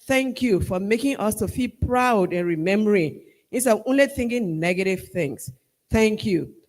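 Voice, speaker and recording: A man with a Liberian accent giving a formal vote of thanks at a ceremony, measured and emphatic, rallying the crowd. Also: sits high, animated. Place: large hall at a university ceremony. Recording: long reverb of a large hall.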